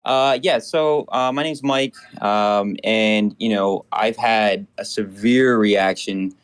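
Late-30s guy calling in, lowish voice, lots of ums and restarts. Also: clear, resonant tone. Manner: halting, many fillers and restarts. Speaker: caller. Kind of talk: phone-in call.

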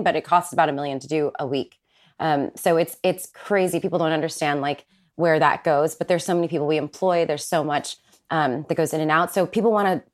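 Chatty woman, laughing often. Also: low-pitched. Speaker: guest, Broadway actress. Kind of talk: casual chat.

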